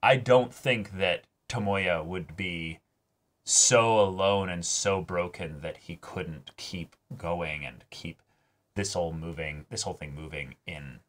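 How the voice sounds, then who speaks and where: A man with a fairly low voice talks through his thoughts in a casual reaction show. He is reflective and somewhat halting, with loose, conversational language. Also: fairly bright tone. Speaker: host of an anime reaction show.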